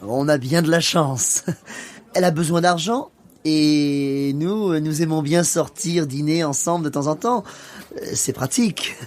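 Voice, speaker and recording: A man's voice: on the high side, unhurried, close to an everyday voice. A man playing a friendly, welcoming French husband and father in an educational radio play. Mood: friendly, hospitable.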